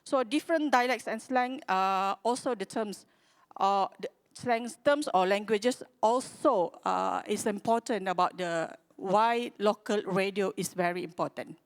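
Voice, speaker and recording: A woman with a Malaysian accent giving a conference presentation, speaking haltingly and often searching for her words. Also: medium pitch. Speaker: conference speaker from a public radio broadcaster.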